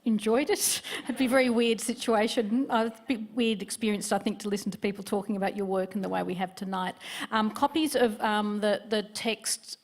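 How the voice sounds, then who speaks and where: A woman moderating a live literary panel, talking quickly with frequent restarts. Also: mid-range voice. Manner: quick, stumbling restarts, bright sign-off.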